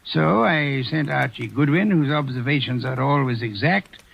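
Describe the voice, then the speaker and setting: An actor in a 1940s radio drama playing a calm, superior male detective who lectures in measured, dryly amused tones. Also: medium pitch, vocal fry, breathy edge, full character voice. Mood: explaining. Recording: dull old radio transcription.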